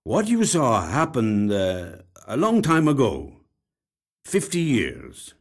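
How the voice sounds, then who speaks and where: A man voicing a weary, grave police detective with deliberate, heavy pauses in a short story reading. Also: mid-range voice, wildly swooping pitch, pitched above the performer's own voice, heavily acted. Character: weary, grave Spanish-speaking male police detective of cold cases.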